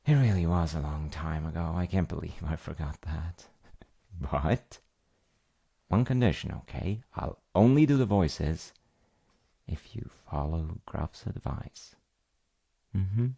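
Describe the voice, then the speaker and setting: A man playing an affectionate dad in a bedtime audio roleplay, speaking softly and hesitantly as he soothes his child. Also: rumbling low, slow, creaky, slightly rough, full character voice. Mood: gently playful. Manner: soft, hesitant, murmuring.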